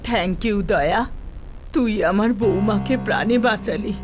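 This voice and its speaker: A woman's voice: medium pitch, slow, natural voice. An actress playing an anxious, tender older mother-in-law in a dramatized story. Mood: grateful. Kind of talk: dubbed animated story dialogue. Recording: music behind the voice.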